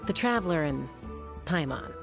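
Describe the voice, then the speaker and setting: An actress playing a composed, encouraging adult woman warrior in a video game, speaking in a measured, reassuring way. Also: sits low, sing-song, vocal fry, over music, heavily acted. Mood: casual, tactful.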